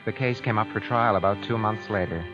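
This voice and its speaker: Over a muffled vintage radio recording with music behind, an actor plays a calculating man accused of murder. His voice is low and deliberate, turning cagey and halting when pressed, in classic radio suspense style. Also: close to an everyday voice. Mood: measured, brooding. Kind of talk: 1940s-50s radio suspense acting, first-person narration.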